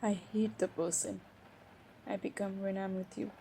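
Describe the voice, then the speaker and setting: A woman playing a hurt, defiant young college-age stepsister in an improvised audio roleplay, speaking emotionally and haltingly with sighs and pauses. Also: medium pitch, level tone, creaky, mellow, natural voice. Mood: hurt, bitter. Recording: faint background noise.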